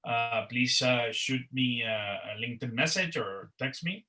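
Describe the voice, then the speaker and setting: A man with a very bright, sharp voice gives closing thanks on an online panel. He rambles, repeating words and leaning on "uh" and "you know." He sounds like he is on a video call. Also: mid-range voice, expressive, measured pace. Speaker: organizer of a career network panel. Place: Miami.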